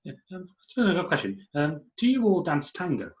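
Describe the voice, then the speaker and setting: A man's voice: on the high side, sing-song, fairly quick, dull audio. A man hosting a casual interview, thinking aloud in a tentative way and putting questions to guests. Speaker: host and interviewer. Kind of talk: casual chat.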